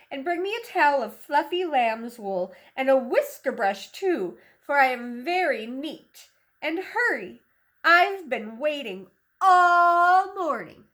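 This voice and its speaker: A woman's voice: high-pitched, a bit bright, heavily acted. A woman voicing a smiling, snobbishly neat male raccoon in a children's storybook, particular and bossy.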